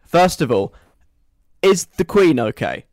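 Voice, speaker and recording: A man's voice: fairly high pitch, very animated, a little slow, a little mellow, dry recording, studio quality. A British man hosting a radio show in a casual, chatty, wry and self-deprecating conversational way.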